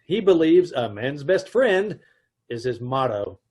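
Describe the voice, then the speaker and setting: A man's voice: mid-range voice, big pitch swings, measured pace, darkish tone, husky. A man playing a wry husband narrator. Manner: dry, wry storytelling. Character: wry middle-aged husband narrating, dry wit. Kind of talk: old-time radio comedy narration.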